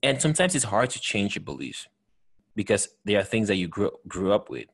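A man in his thirties speaks earnestly over a video call. His voice is husky and sits a little low, and he often stops and restarts his sentences as he pushes his points. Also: expressive, brisk, a bit bright. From Cameroon. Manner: earnest, emphatic, many restarts and repeated words. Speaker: host. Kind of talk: conversational video call chat. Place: home room with bookshelves. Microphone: headset with desk microphone.

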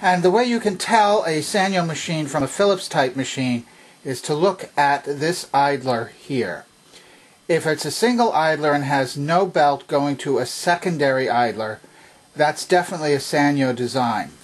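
A man's voice: a little high, normal speed. A man giving a hands-on product demonstration, methodically listing parts and steps as he goes. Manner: methodical, clearly enumerating. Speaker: vintage electronics demonstrator. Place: indoors at a home workbench.

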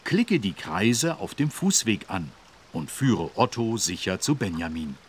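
Kindly, lively cartoon policeman. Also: fairly low pitch, unhurried, slight background noise. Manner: kindly, clearly instructive. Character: friendly dutiful adult policeman in cartoon game. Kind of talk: children's educational game voice acting.

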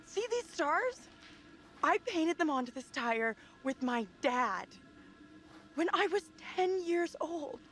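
A woman's voice: high-pitched, sing-song, takes it slowly, fairly bright tone, soft background music, full character voice. An actress playing an anxious, blunt, insistent young modern woman in danger in a video game. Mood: upset insistence. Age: young adult. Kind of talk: naturalistic game cutscene acting.

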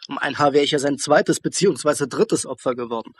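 An actor playing an anxious young police student in a German crime radio play, with a husky voice. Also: male voice, fairly high pitch, a little fast. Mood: uneasy, eager. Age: young adult.

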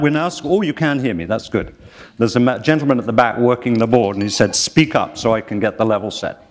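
An older man interviewing a guest onstage before an audience, speaking in a measured, gently wry way. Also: mid-range voice. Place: library event hall with audience. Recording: hall with long reverb.

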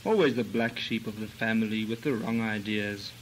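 An actor playing a brooding long-lost cousin in a comic radio melodrama, speaking in a grandiloquent, theatrical way. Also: male voice, lowish voice, moderate pace, a little hiss or hum, lightly acted, poor audio.

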